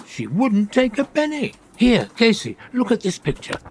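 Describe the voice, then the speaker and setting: An actor playing a gentle, earnest, grieving old widower with a creaky voice in a radio comedy. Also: male voice, extremely high pitch, huge pitch swings, moderate pace, a little hiss or hum, exaggerated voice. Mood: hopeful, trusting. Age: older, about 70s. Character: grieving, trusting elderly widower shopkeeper.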